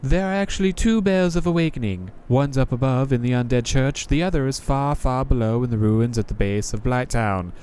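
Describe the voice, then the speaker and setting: A man's voice: medium pitch, brisk, few pauses, warm tone, slight background noise, close to an everyday voice. A man voicing a jaded, contemptuous female knight from a visual novel, with a sardonic, taunting manner. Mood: dry, exasperated. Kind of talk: visual novel character reading.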